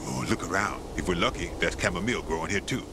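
A seasoned male wanderer from a video game, cocky and teasing like an old friend. His low voice swings freely up and down, with clear ambient noise behind it. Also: expressive, quick pace. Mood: casual, friendly. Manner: teasing, casual, swearing. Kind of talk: video game dialogue acting.

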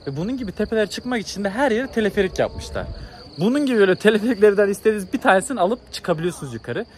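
A young man talks quickly and casually to a phone held at arm's length. His voice sits a bit high for a man, and he sounds keen and informal, like a travel vlogger. Faint outdoor noise runs behind him. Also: lively intonation, a little mellow. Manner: enthusiastic, informal, exclamatory. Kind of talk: casual chat to camera. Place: outdoors in a mountain village and hillside.

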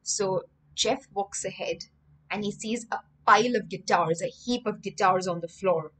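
A woman's voice: medium pitch, normal speed, a bit bright, low-quality recording. A young woman tells a story to children as an English teacher, emphatically repeating words with synonyms. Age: young adult. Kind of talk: storytelling vocabulary lesson for children. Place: indoor room with bookshelves.

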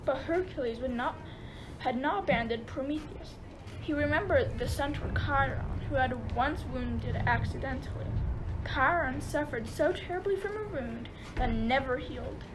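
A woman's voice: sits high, moderate pace, background noise, close to an everyday voice. A woman narrating a radio play as a scripted storyteller.

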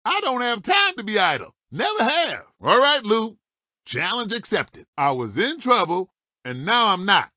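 Feisty, high-pitched old race car. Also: male voice, huge pitch swings, measured pace, bright, forceful tone, no echo, studio quality, full character voice. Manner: folksy, cocky, exclamatory one-liners. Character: feisty old talking race car, folksy veteran racer. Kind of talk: animated video game character voice clips.

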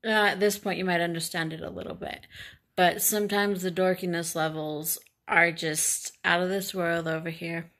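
A woman's voice: fairly low pitch, flat delivery, moderate pace, fairly bright tone. Informal, rambling young woman vlogger. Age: young adult. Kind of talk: casual chat to camera. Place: small living room, indoors. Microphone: phone at arm's length.

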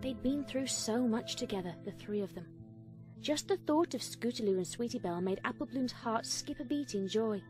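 A woman's voice: on the high side, expressive, moderate pace, music in the background. A woman reading a scripted story as a dramatic fan fiction narrator.

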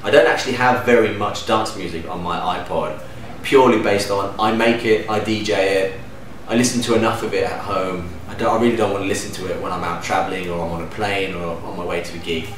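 A man in his thirties from Denmark, a DJ and producer, answering interview questions to camera in a relaxed, enthusiastic way with lively hand gestures. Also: low voice, fairly quick, hardly any pauses. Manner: relaxed, enthusiastic, gestures with hands while talking. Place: living room at home, indoors. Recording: echoey room, distant mic, faint hum.

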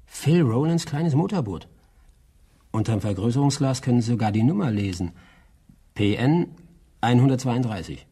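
A man's voice: medium pitch, expressive, normal speed, pauses often, warm tone, slightly rough. An actor playing a dry, plain-spoken coastal watchman in a German crime radio play, laconic and colloquial. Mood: knowing.

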